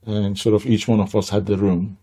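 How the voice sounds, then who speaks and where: An older man from Iran with a Persian accent, telling his life story as an interview guest in a halting way, with many restarts. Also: on the low side, little pitch movement, warm tone, husky.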